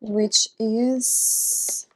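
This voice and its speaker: A woman's voice: fairly high pitch, little pitch movement, drawn-out words, darkish tone, poor audio. A woman whose first language is Portuguese narrates a craft tutorial, walking through each item in a friendly show-and-tell way. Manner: friendly show-and-tell, pointing out items step by step. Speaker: card-making crafter.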